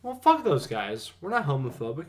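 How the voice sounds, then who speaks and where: A man's voice: on the high side, very animated, normal speed, slight background noise. A man co-hosting a casual horror movie podcast, rambling with lots of fillers. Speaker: podcast co-host. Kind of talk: casual chat.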